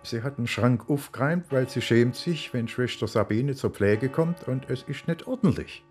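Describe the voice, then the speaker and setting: An actor playing a good-humoured provincial police inspector with a Swabian accent and a folksy, rambling manner in a radio play. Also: male voice, mid-range voice, moderate pace, darkish tone, soft background music, close to an everyday voice. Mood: explaining.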